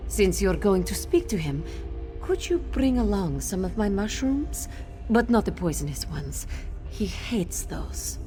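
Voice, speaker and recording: A woman voicing a gentle, modest cat-folk fungus grower in a video game, speaking in a measured, matter-of-fact way. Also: mid-range voice, close to an everyday voice. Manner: speaks of self in third person, measured. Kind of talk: video game character dialogue.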